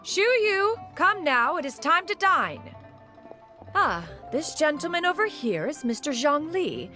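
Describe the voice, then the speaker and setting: A woman's voice: sits high, sing-song, unhurried, bright, forceful tone, soft background music, exaggerated voice. A woman playing a dignified, proud immortal in a video game, speaking in formal, archaic phrases with a haughty manner. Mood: composed. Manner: formal, archaic, haughty, calls herself "one".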